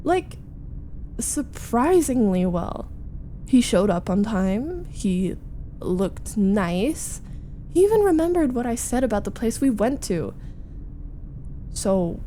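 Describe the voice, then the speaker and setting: A woman voicing a flustered, needy young roommate in a chatty, halting, defensive roleplay audio, confiding as she vents. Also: fairly high pitch, mellow, slight background noise, heavily acted. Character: flustered, needy young female roommate venting about a date. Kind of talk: roleplay ASMR audio acting.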